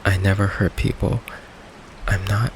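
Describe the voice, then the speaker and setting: A man playing a gentle, insecure goth vampire boyfriend in an ASMR roleplay, soft-spoken, halting and apologetic, with a gravelly voice. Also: deep voice, a little hiss or hum, rough recording. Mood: earnest, defensive. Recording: steady rain ambience behind the voice. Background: rain ambience.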